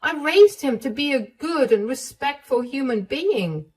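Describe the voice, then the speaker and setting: A woman voicing a caring, protective middle-aged mother-in-law, warm, indignant and reassuring in a storybook tale. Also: a little high, lively intonation, measured pace, pitched above the performer's own voice. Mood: outraged.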